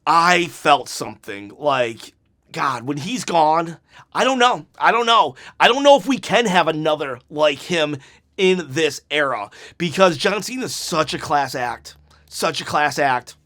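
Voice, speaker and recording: A man hosting a wrestling channel, giving an unedited review right after a show, excitable and rambling, his voice going hoarse. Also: fairly high pitch, measured pace. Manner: excitable, rambling, repeats himself.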